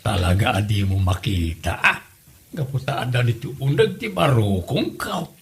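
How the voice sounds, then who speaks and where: A man's voice: mid-range voice, sing-song, moderate pace, creaky, fairly bright tone. A man playing an older Ilocano father, earnest and easily hurt, in a comedy drama. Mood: hurt, pleading. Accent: Filipino, Ilocano. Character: older father, wounded, earnest suitor to his in-law.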